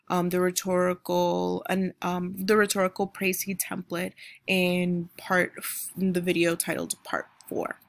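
Creaky-voiced woman teacher explaining slides. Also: mid-range voice, fairly bright tone, no echo. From United States. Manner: explanatory, frequent "um" and "right?" checks. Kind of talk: recorded online mini-lesson over slides. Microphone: computer microphone, voice-over on screen recording.

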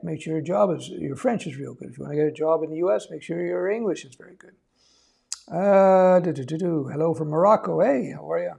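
Husky, gravelly middle-aged man, offhand. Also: fairly high pitch, mellow. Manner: informal, rambling, offhand, reassuring. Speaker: live stream host, veteran developer giving career advice. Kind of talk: casual live-stream chat, reading and answering viewer comments. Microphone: lapel mic.

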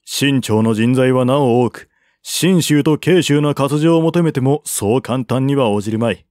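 A man voicing a shrewd, calm military strategist of ancient China in an audiobook, formal and reasoned. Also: mid-range voice, a little slow, no echo, studio quality, close to an everyday voice. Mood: sober reasoning.

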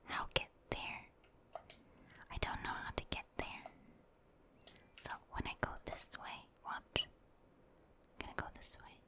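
Slow whispering woman, ASMR gaming. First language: Filipino (Tagalog). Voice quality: breathy unvoiced whisper. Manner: gentle repetition of short phrases, musing aloud to viewers. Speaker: ASMR gaming video creator. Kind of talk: whispered ASMR game commentary.

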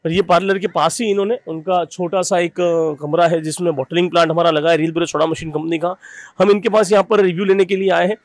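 Brisk male company presenter, high and quick, upbeat tour-guide energy in a small work room. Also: little pitch movement. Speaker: company representative host. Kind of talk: on-site customer review video. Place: small bottling room and shop.

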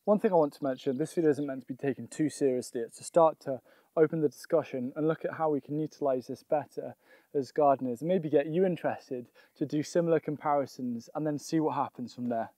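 Higher-voiced young man, casual presenter. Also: quick pace, warm tone, no room sound. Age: young adult. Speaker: gardening video presenter. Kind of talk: casual explanatory vlog to camera. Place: outdoors in a vegetable garden.

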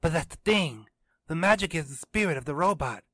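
An earnest teenage boy , played by a male narrator in a story reading. He talks quickly in a lifted voice, insistent and sure of his point. The sound is dry. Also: a little high, animated, sharp tone, no echo, voice pushed up. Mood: earnest, certain.

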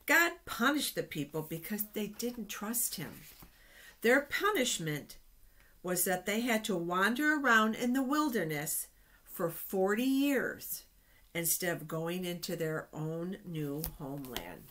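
A middle-aged woman reading Bible stories aloud to children in an expressive, explanatory way. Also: on the low side, a little slow. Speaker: children's storytime reader. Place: small office, indoors. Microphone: webcam at desk distance.

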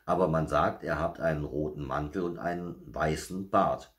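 A man's voice: rumbling low, normal speed. A man voicing a motherly, self-satisfied female bear who explains things patiently in a children's storybook reading. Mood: patient, explaining.

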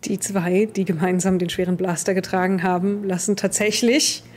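A woman's voice: medium pitch, steady tone, moderate pace, a little mellow, a little hiss or hum. A young woman improvising the narration of a tabletop roleplaying game as game master. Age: young adult.

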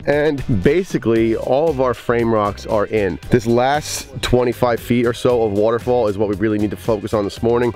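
An upbeat man in his forties, mid-pitched, walks through a backyard build and explains each step to a camera held close. He is outdoors, with faint music underneath. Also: darkish tone. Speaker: pond builder and channel host. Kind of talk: on-site build walkthrough. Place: outdoors at a backyard construction site. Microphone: handheld camera held close.

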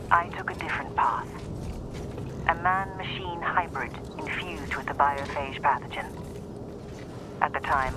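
A man plays a guilt-ridden male scientist confessing in a recorded log, solemn and grave. His voice is a little high, with clear noise in the recording. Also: close to an everyday voice.

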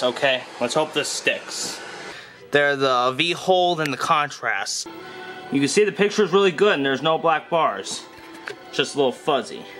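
A man's voice: fairly high pitch, sharp tone. A young adult man narrating a casual hands-on demo in a plainspoken, offhand way, recorded in a room at home from behind the camera. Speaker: gadget demonstrator.

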